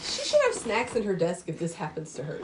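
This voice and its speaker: A woman's voice: fairly low pitch, wildly swooping pitch, brisk, heavy background noise. A woman in a casual podcast chat, amused and laughing often through her remarks.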